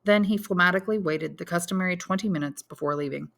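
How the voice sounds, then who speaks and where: A woman's voice: fairly low pitch, fast, creaky, fairly bright tone. A woman reading a literary story aloud as scripted audiobook narration. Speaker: audiobook narrator, English teacher.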